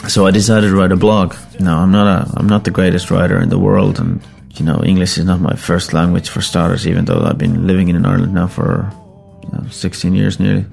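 A middle-aged Finnish-born man with a Finnish accent, a rock musician talking casually in English, his second language, in a halting, rambling, self-deprecating way. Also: sits low, flat delivery, gravelly, a little mellow, soft background music. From Finland. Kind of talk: casual spoken account.

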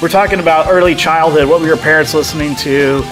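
Guy hosting a music podcast, slightly high voice, guitar playing behind him. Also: fairly even intonation, fast talker, low-quality recording. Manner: friendly, loose, light laughs. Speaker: podcast host. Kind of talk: scripted podcast intro and casual interview.

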